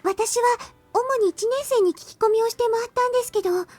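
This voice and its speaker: A woman's voice: extremely high pitch, very fast, darkish tone. An actress playing an earnest, polite schoolgirl in an anime-style game.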